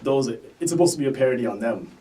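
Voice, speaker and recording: A man's voice: medium pitch, animated, very fast, mellow, recorded from a distance, slight background noise, low-quality recording. A young man from Canada, a guest rapper talking casually in an interview, loose and laid-back with fillers.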